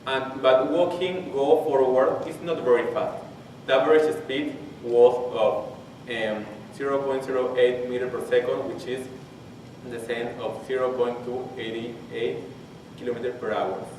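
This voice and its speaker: A man in his twenties from Peru with a Spanish accent, his first language, giving a technical conference talk and haltingly restarting phrases as he searches for English words. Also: mid-range voice, steady tone, a little mellow. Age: 20s. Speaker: conference presenter. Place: large conference hall. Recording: very echoey hall, distant mic, faint hiss.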